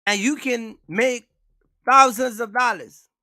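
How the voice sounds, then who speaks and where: A man's voice: extremely high pitch, slow pace, pushed and bright, no room sound, clean studio sound. A man hosting a trucking livestream, talking casually and emphatically, repeating himself and asking viewers for likes. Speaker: trucking channel host.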